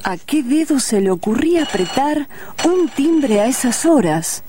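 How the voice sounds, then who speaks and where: A woman's voice: high-pitched, sing-song, measured pace, noisy background. A woman with a Rioplatense Argentine accent narrating a scripted radio drama in a theatrical, wry storytelling way. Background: music.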